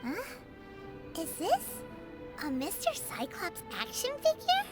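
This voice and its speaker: A woman voicing a tiny, bossy, childlike fairy guide with a squeaky, pert delivery in a video game. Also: huge pitch swings, slow pace, over loud music, full character voice. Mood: awed. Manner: refers to herself in third person, pert.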